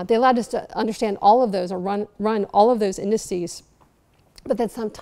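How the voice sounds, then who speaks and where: A middle-aged woman giving a conference lecture as keynote speaker, halting and emphatic, leaning on key words. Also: medium pitch, creaky, mellow. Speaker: keynote speaker, architect and researcher. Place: large lecture auditorium, speaking from a lectern on stage.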